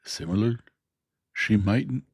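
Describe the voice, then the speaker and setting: A man voicing a plain-spoken, kindly working-class blacksmith with speech, talking slowly and emphatically. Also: lowish voice, expressive, vocal fry, husky, no room sound, pitched above the performer's own voice, full character voice. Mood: earnest. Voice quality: dialect grammar, slow and emphatic. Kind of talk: audiobook character voice.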